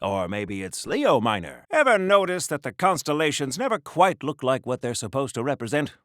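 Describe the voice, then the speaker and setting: An actor playing an earnest, socially awkward old-time superhero in a video game, chirpy and rambling, with quaint old-fashioned exclamations. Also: male voice, medium pitch, sing-song, normal speed, fairly bright tone, no room sound, clean studio sound. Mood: musing. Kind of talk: mid-century hero voice acting for a video game.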